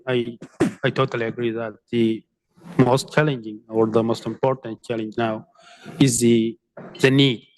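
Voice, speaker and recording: Flat-toned hesitant man moderating a panel. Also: mid-range voice, poor audio. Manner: hesitant, many fillers and restarts.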